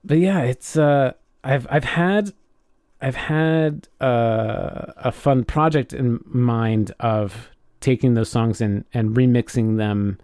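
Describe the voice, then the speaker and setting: A man's voice: medium pitch, slow, darkish tone, clear, resonant tone, no room sound, studio quality. A man co-hosting a podcast in casual chat, telling things informally and hesitantly as he goes. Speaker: podcast co-host.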